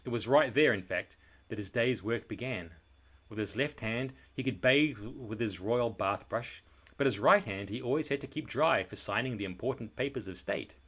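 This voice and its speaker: A male storyteller with a medium-pitched voice reads a children's book aloud. His narration is expressive and scripted, leaning on key words with deliberate, dramatic emphasis. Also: breathy edge.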